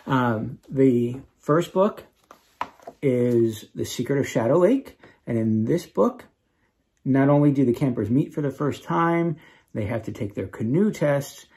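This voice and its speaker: A man reading aloud to children as an author, with expressive, dramatic emphasis and casual asides. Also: medium pitch, husky. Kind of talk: animated storybook read-aloud with casual asides.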